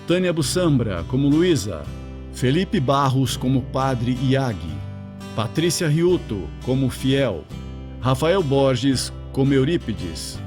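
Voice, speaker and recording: A man working as an announcer, reading scripted closing credits for a radio drama. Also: medium pitch. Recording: roomy echo under clear guitar music.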